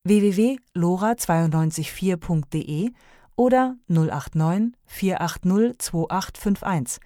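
A woman reading a radio station promo, spelling out contact details slowly and deliberately. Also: fairly low pitch, mellow, dry recording, studio quality. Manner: slow, deliberate spelling of web address and phone number. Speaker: station announcer.

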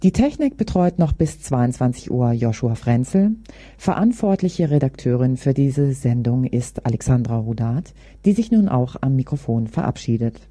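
Woman radio presenter reading scripted announcements, really dark mellow voice, dry close studio sound. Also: a bass voice, husky, no room sound.

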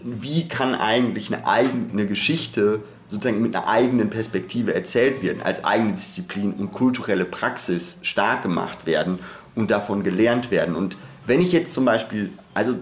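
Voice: mid-range voice, moderate pace, a little hiss or hum. A podcast co-host in a reflective, conversational discussion, speaking in a discursive academic way and often breaking off to rephrase. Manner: discursive, hesitant, self-interrupting academic talk.

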